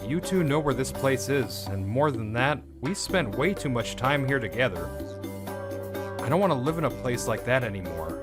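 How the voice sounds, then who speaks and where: A man's voice: mid-range voice, sing-song, normal speed, over music, lightly acted. A man playing a bitter, wounded young lead who narrates in scripted first person for a comic dub. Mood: cold, bitter.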